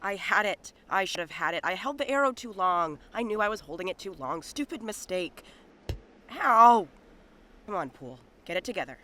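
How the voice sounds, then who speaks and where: A man's voice: high voice, very animated, moderate pace, pushed and bright, full character voice. A man playing a down-on-his-luck, boastful medieval hunter who grumbles and scolds himself in a comic audio drama. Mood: frustrated. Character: self-berating young male medieval Irish hunter, boastful, down on luck.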